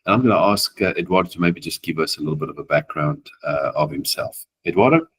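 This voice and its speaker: A man, an attorney whose first language is Afrikaans, hosting a legal webinar and speaking haltingly with many fillers. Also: extremely low pitch, lively intonation, fairly bright tone, slight background noise. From South Africa. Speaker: immigration attorney, webinar host. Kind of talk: webinar presentation.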